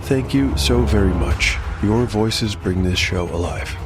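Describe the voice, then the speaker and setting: A man narrating as host of a scripted dark fantasy story show, in a measured, articulate storytelling manner. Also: on the low side. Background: music.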